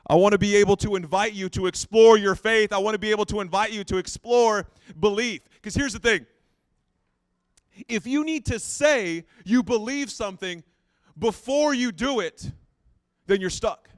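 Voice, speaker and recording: Pastor, late 30s, high clear tenor, dry close mic, chatty and self-deprecating. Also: male voice, normal speed, no echo. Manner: conversational, self-deprecating, repeats phrases. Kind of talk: sermon.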